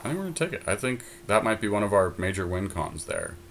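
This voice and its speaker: A man co-hosting a podcast, thinking aloud in casual chat with many pauses and restarts. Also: on the low side, very animated, a bit bright, a little hiss or hum. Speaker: podcast co-host. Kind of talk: casual card-draft chat.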